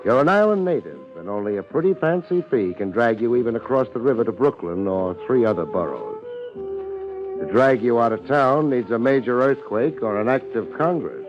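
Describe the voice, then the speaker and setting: An actor playing a laid-back, wisecracking New private detective in a 1950s radio drama, speaking in wry, clipped slang. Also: male voice, medium pitch, lo-fi sound, natural voice. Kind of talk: 1950s radio detective drama acting, first-person narration.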